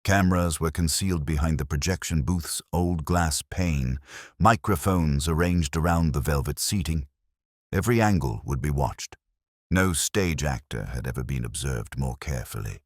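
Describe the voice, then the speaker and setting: A man's voice: rumbling low, normal speed, no room sound, clean studio sound. A man reading scripted narration of a detective mystery audiobook.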